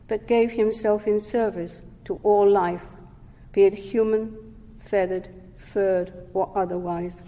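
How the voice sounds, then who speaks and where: A woman giving a prepared talk at a Sunday service, measured and gentle, sometimes correcting herself mid-word. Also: mid-range voice. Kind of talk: prepared talk read aloud. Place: service hall. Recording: long hall reverb.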